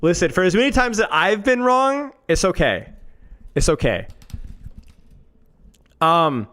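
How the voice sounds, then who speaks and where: A man's voice: sits high, sing-song, a little slow, fairly bright tone. A man streaming live, chatting casually to his viewers in an exasperated, self-mocking, rambling way.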